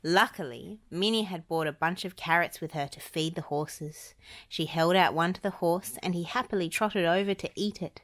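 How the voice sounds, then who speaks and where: A woman narrates a children's audiobook. Her voice sits a little low for a woman, and she tells the story expressively. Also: normal speed, fairly bright tone. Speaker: storybook reader.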